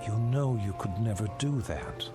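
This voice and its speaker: Man playing animated, practical museum owner. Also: fairly low pitch, normal speed, over music, lightly acted. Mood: firm, reasoning. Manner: reasoning, persuasive, dismissive. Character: practical, brisk museum owner, an old friend. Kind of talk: audio drama acting.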